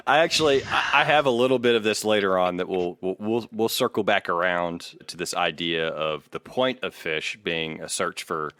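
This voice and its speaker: A man's voice: low-pitched, normal speed, few pauses, fairly bright tone, clear, resonant tone, no echo. A man hosting a podcast, discussing music casually and bluntly, laying out his opinions point by point. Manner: blunt, makes points as a numbered list. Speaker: podcast host.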